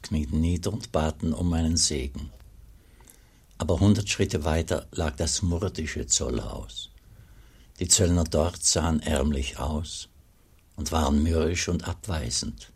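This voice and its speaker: A man playing a travelling monk who recounts his journey in solemn, measured radio drama narration. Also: a bass voice, husky, full character voice. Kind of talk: literary first-person radio drama narration.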